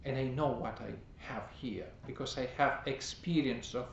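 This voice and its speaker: Priest, male, mid-pitched, unhurried halting sermon delivery with repeated words; echoey, muffled, noisy church recording. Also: creaky. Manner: slow, halting, with many pauses and fillers. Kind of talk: teaching sermon. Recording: roomy, dull, noisy recording.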